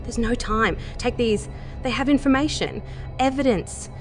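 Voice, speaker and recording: A woman's voice: on the high side, a little slow, lightly acted. A woman playing a fearful, guarded scientist in an audio drama, with a flustered, clipped way of talking. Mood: anxious. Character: harried, fearful, guarded female scientist on Mars. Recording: voice over loud music.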